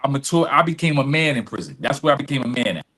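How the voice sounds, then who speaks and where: A man's voice: medium pitch, very animated, fast talker, a bit bright. A middle-aged man being interviewed, telling personal stories candidly and informally, repeating phrases for emphasis. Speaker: interview guest.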